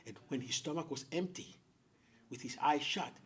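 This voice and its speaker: A man telling a folktale as host, with theatrical, deliberate phrasing and dramatic pauses. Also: a little high, a bit bright. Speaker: storyteller, host. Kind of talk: oral folktale storytelling to an audience.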